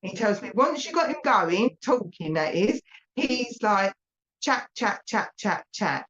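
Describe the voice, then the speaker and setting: Essex woman, mid-pitched, warm chatty medium relaying messages over slightly muffled stream audio. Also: animated, takes it slowly. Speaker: medium giving readings. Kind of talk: live psychic reading to viewers.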